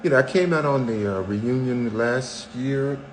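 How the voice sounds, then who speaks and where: A man's voice: medium pitch, measured pace, slight background noise, rough recording. A man in his seventies chatting candidly on a podcast, telling stories with wry, self-mocking jokes. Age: 70s. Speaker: podcast co-host, husband. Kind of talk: casual chat.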